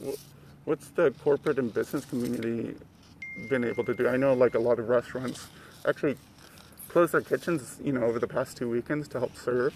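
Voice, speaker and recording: An American man with a creaky, gravelly voice puts questions in a casual discussion. His delivery is halting, over faint background noise. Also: medium pitch, fairly even intonation, a little mellow, poor audio.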